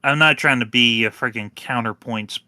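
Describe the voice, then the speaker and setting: A man on a panel pushing back on a point, halting and restarting often. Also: medium pitch, sharp tone, no echo, studio quality. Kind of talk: heated panel discussion on a live stream.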